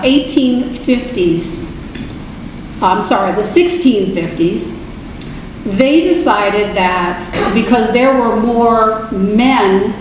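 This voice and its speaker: A woman giving an informal history talk to an adult church class, unhurried, with many fillers and self-corrections. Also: mid-range voice. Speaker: guest speaker at adult faith class. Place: church meeting room. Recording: very echoey, distant, noisy room recording.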